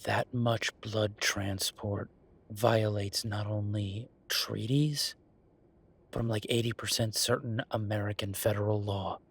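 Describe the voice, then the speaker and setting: A man playing an exasperated, firm werewolf bureaucrat in an audio roleplay, talking emphatically in a dry, husky voice. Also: fairly low pitch, steady tone, measured pace. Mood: firm, annoyed. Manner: exasperated, emphatic, repeating himself. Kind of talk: audio roleplay acting, one side of a phone call.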